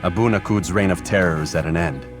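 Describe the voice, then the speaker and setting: A stern assassin played by a man with a low voice, speaking in short, restrained phrases. He is grave and judgmental, as in a game cutscene, with music behind him. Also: fast, natural voice. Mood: matter-of-fact.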